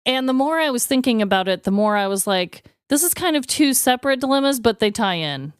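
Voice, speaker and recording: A woman's voice: fairly high pitch, expressive, fairly quick, clean tone, no echo, clean studio sound. A woman co-hosting a podcast in casual comedic chat, enthusiastic and playful. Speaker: podcast co-host.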